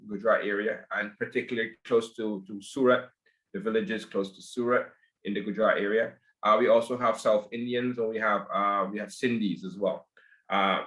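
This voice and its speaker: A man's voice: fairly low pitch, fairly bright tone. A man from Barbados, speaking as a guest in a podcast interview, often hesitant and repeating his words. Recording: echoey room.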